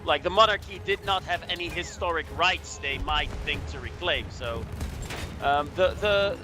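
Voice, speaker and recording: A man from Belgium giving casual, halting explanations as a guest commentator. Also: fairly high pitch, over music.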